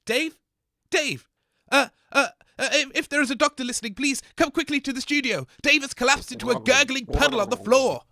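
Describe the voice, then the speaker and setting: A man's voice: very high voice, brisk, creaky, sharp tone, dry recording, heavily acted. A man with a British accent playing a fussy, easily flustered host on a comic radio news show. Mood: panicked. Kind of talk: mock news reading and comic radio acting.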